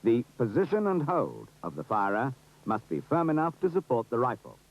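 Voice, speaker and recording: A man's voice: mid-range voice, very animated, moderate pace. A British man giving formal, precise, authoritative scripted narration for an instructional film. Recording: dull, muffled 1970s film soundtrack.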